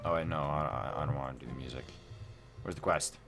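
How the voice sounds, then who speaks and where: A man hosting a casual gaming let's play, thinking aloud in an excitable, repetitive way. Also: extremely low pitch, quick pace. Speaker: gaming video host. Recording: music behind the voice.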